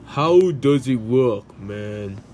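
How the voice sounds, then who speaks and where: Man as a slow-talking grumpy bomb-headed guy. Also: mid-range voice, lively intonation, darkish tone, slight background noise, pitched below the performer's own voice. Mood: awkward. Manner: halting, casual, tacks "man" onto sentences. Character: grumpy, awkward, baffled male bomb-headed creature. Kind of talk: amateur comic dub.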